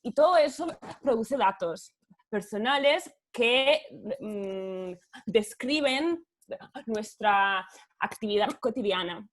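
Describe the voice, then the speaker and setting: A woman's voice: fairly high pitch, expressive, takes it slowly. A woman whose first language is Italian, giving an academic panel talk in Spanish over a video call, hesitant and searching for words, with many fillers. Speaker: guest speaker, author.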